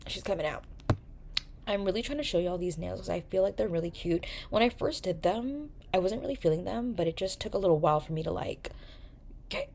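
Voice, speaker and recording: A young woman from New York hosting a casual, chatty vlog, swearing and joking as she talks. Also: fairly low pitch. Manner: chatty, animated, swears and jokes. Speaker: vlogger, podcast host. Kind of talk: casual chat to camera.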